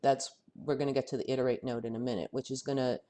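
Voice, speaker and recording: A man with a head cold and a voice that is starting to go talks through a software tutorial. He thinks aloud, pausing and restarting his sentences as he works, at an ordinary middle pitch. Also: level tone. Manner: thinking aloud, halting and self-correcting. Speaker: software instructor. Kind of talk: screen-recorded software tutorial.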